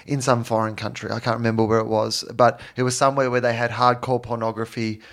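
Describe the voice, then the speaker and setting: A man in casual chat, telling a comic anecdote in an animated way with hesitant pauses. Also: mid-range voice.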